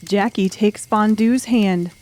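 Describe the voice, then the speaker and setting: A woman's voice: medium pitch, slow, darkish tone. A woman narrating a dramatic audiobook story from a script.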